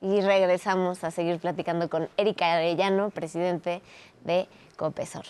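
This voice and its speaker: A woman's voice: on the low side, little pitch movement, moderate pace, a little mellow, clear, resonant tone. A woman with a Mexican accent hosting a television talk show, introducing a segment in a friendly way. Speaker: host.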